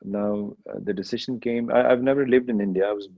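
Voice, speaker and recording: A middle-aged man from Kenya, a singer answering interview questions in a relaxed way, slipping Hindi phrases into his English. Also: lowish voice, a little fast, vocal fry, no echo, dull audio. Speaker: rapper and singer.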